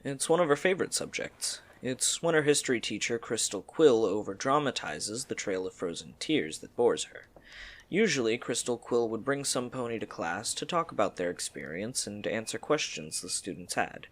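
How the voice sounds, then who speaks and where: A man's voice: mid-range voice, lively intonation, normal speed. A man reading scripted story narration for an audio drama.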